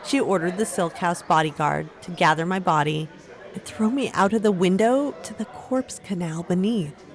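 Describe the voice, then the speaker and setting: A woman's voice: on the low side, normal speed, soft background music, a faint crowd, natural voice. A woman playing a resilient young woman who recounts her ordeal in a fantasy audio drama. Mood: wry, measured. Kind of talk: first-person storytelling in an audio drama.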